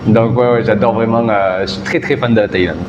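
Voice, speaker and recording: A young man from the south of France answers interview questions with relaxed enthusiasm, pausing often to find his words. His voice rings with a long, echoey reverb, and clear outdoor city noise runs behind him. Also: on the low side, dull audio. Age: 20s. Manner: relaxed, enthusiastic, many hesitations. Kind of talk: casual street interview.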